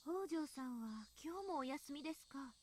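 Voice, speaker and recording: A polite school character from a visual novel. The voice is high and brisk, with courteous, formal phrasing. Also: female voice, animated, a little mellow. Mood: polite, concerned. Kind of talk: Japanese visual novel voice acting.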